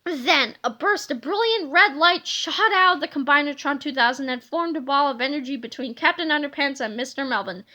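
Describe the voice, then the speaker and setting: Woman, children's read-aloud narrator, expressive storybook style, high pitch, bright tone. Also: a little fast, hardly any pauses.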